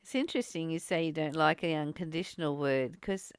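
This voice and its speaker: A woman's voice: low voice, fairly quick, clean tone, no echo, clean studio sound. A woman hosting a reflective spiritual study discussion, speaking in an encouraging, reassuring way. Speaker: study group host.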